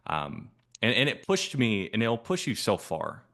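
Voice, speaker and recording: A man's voice: on the low side, huge pitch swings, measured pace, vocal fry, a bit bright. A man coaching on a sales call, asking probing, persistent questions in a casual way.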